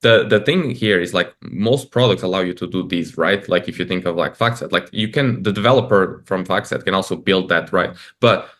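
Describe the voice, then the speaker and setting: A man's voice: low voice, normal speed, few pauses, a bit bright. A man from Portugal, a startup founder, talking loosely in an interview, with frequent fillers. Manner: loose and conversational, many fillers like "like" and "uh". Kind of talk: casual interview talk.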